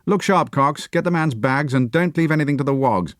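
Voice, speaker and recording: A man's voice: fairly high pitch, fairly quick, dry recording, clean studio sound, pitched above the performer's own voice, lightly acted. A man putting on a bluff, clipped army captain. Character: brisk, hearty British army captain, man.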